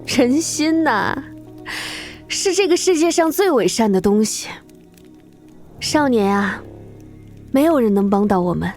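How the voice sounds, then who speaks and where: A woman voicing a dignified, world-weary female chief of a beast-folk tribe in an animated fantasy, measured and grave. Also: fairly high pitch, faint music behind. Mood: bitter, scornful. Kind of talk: animated fantasy drama acting.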